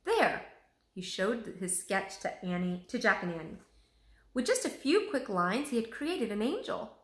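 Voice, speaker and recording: A woman narrates a children's story expressively. Her voice is bright, with plenty of movement in pitch. The sound is roomy and echoing. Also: expressive, normal speed. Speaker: teacher reading aloud.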